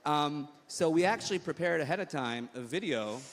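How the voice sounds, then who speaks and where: A man with an American accent hosting an onstage conference interview, rambling and halting with many fillers. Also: a little high, animated. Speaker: host. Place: large hall on stage. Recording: reverberant hall, faint noise.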